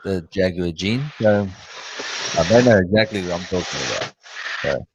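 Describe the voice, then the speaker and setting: A man's voice: sits low, animated, normal speed, muffled recording, background noise. A man with a South African accent and Afrikaans as his first language, chatting casually and wordily as a reptile breeder hosting a show, sometimes searching for his words. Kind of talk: casual unscripted chat.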